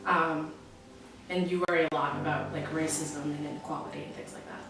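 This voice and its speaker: A teenage woman from Massachusetts answering interview questions, hesitant with many pauses and ums. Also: deep voice, little pitch movement, background noise. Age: teens. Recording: echoey room from far off, music behind.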